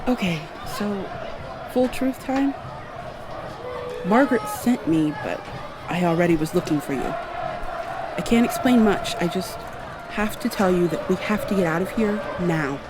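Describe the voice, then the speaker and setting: A woman playing a brisk, awkward time traveler in an ASMR roleplay, talking to the listener in a rambling, wry way and thinking out loud. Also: lowish voice, lively intonation, a loud crowd, very noisy. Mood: urgent, earnest. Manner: rambling, hesitant, thinking out loud mid-sentence. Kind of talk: ASMR roleplay, acted one-sided dialogue to the listener.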